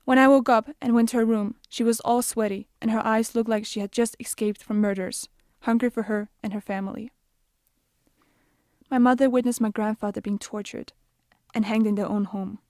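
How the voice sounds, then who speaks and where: A young woman with a Bosnian accent reads her own story in an earnest, measured way. Her voice sits a little high and sounds mellow, and the recording is dry and close. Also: fairly even intonation, dry recording. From Denmark. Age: young adult. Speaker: youth activist. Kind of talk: scripted personal narration.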